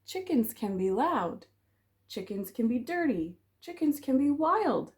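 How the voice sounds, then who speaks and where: Woman voicing a timid, curious storybook chicken, swooping pitch. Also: unhurried. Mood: determined. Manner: exaggerated, expressive. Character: timid but curious farmyard chickens, easily startled. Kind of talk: children's storybook character voice.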